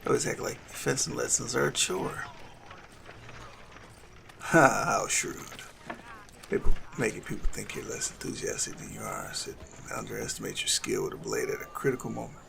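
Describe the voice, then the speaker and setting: A man playing a suave, cunning assassin in love, speaking softly and formally in a romantic audio roleplay with a gravelly creak. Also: on the low side, huge pitch swings, moderate pace, noisy background, exaggerated voice. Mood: playful. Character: lovestruck male assassin, suave, cunning, tenderly courting a noble. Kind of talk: romantic audio roleplay acting addressed to the listener.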